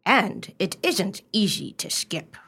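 A woman's voice: mid-range voice, very animated, slow pace, creaky, sharp tone, husky, exaggerated voice. An actress playing a sly, nonchalant society woman in a radio play, speaking in an airy, genteel, mock-earnest manner. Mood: blithe, teasing. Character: sly, nonchalant society woman, blithely bluffing her book club.

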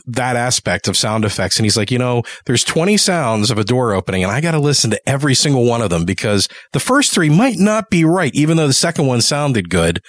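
A man's voice: medium pitch, fairly bright tone, no echo. A man co-hosting a podcast interview in a casual, conversational style, talking as a fellow audio producer.

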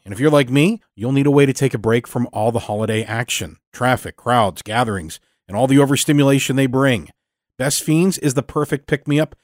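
A man reading scripted announcements for a show, with deliberate, measured phrasing. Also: medium pitch, no room sound, clean studio sound. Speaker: show announcer and sponsor reader. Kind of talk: scripted narration.

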